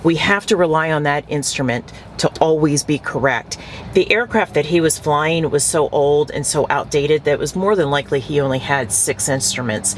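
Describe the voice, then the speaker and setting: A man's voice: a little high, normal speed, low-quality recording. Instructive man explaining, faint background noise. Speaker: aviation expert. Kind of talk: explanatory interview.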